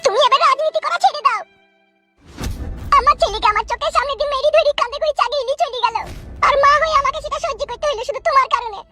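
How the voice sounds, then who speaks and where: Frantic cartoon wife and mother, played by a woman: very high squeaky voice, pleading, racing delivery. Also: fast. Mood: bitter pleading. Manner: pleading, agitated. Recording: loud music behind voice.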